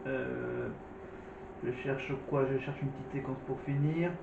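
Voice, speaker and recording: A man's voice: medium pitch, steady tone, unhurried, gravelly. Hesitant man narrating over a tinny line. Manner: hesitant, many fillers and restarts. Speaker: vlog host commenting on clips. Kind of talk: casual spoken commentary. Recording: echoey, distant, phone-like with noise.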